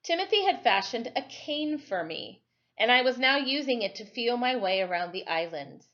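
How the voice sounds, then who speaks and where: A woman reading a children's novel aloud like a teacher at storytime, phrasing it expressively and deliberately. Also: on the high side, sing-song, fairly bright tone, highs cut off.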